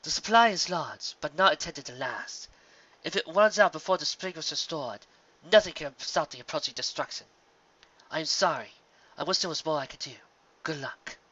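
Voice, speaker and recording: A man's voice: fairly high pitch, lively intonation, normal speed, sharp tone, dull audio, voice pushed up. A man voicing a princess's magical mirror reflection in a storybook reading, solemn, detached and formal. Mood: solemn, regretful.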